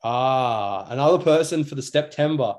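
A man's voice: medium pitch, animated, moderate pace, a little mellow. A young Australian man co-hosting a podcast in casual chat, talking in a rambling, matey, slangy way. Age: young adult. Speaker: podcast co-host.

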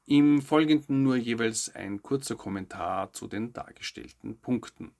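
Gravelly man presenting news. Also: fairly low pitch, a little mellow. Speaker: host of a weekly news update show. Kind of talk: scripted news narration with commentary.

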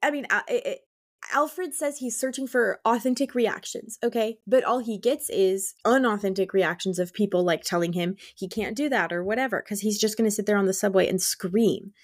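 A woman's voice: mid-range voice, normal speed. A woman in casual book discussion as a co-host, talking informally with exclamations and plenty of "like".

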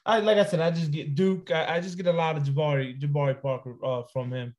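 A man, a first-time guest on a sports podcast, giving measured opinions and thinking aloud with fillers. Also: on the high side, fairly even intonation, a little mellow. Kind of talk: casual sports analysis chat.

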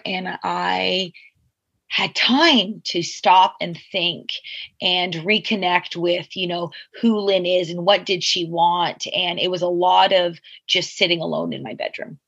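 Husky low-voiced woman in her forties. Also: fairly bright tone, highs cut off. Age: 40s. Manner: reflective, rambling with asides and fillers. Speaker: podcast guest. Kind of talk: candid conversational storytelling.

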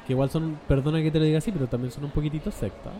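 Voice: mid-range voice, steady tone, racing, warm tone. A Chilean host chatting casually, teasing and joking with slang. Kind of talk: casual chat. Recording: music and crowd behind.

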